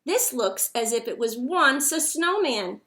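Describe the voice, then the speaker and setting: Lady doing a storybook head gardener, no nonsense n dismissive, highish voice. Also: lively intonation, measured pace, sharp tone, full character voice. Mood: surprised, dismissive. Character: brisk, dismissive head gardener from a picture book.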